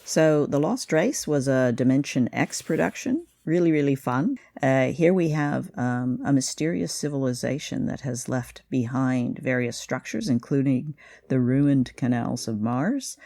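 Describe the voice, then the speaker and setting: Woman podcast host, gravelly fry, mellow and dry sound, hesitant casual chat, soft music behind. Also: very deep voice, dry recording. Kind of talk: casual discussion of old radio drama. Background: faint music.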